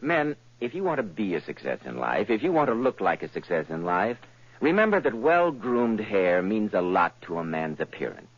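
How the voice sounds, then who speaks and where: A man's voice: very animated, moderate pace, no echo. A man announcing an old radio show and reading a persuasive commercial, from a dull old broadcast. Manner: smooth, persuasive sales pitch.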